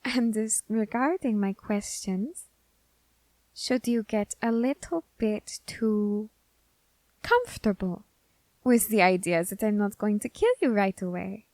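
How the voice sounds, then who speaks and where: A woman's voice: medium pitch, lively intonation, darkish tone, no echo. A woman playing an eager, curious young Grim Reaper scholar in an ASMR roleplay, fascinated and politely menacing. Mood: playful threat. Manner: fascinated, deliberate, politely threatening. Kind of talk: ASMR roleplay addressed to the listener.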